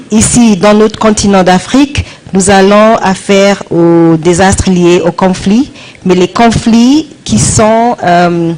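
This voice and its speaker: A woman's voice: mid-range voice, darkish tone, low-quality recording. A woman with a mid-range voice interprets a speech live. She goes phrase by phrase, hesitating as she looks for the right words. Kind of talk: spoken consecutive interpreting of a speech. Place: live event beside the speaker.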